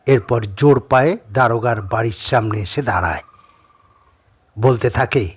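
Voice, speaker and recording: A man's voice: mid-range voice, a little slow, slightly rough. A man narrating a horror audio story as a dramatic scripted reading.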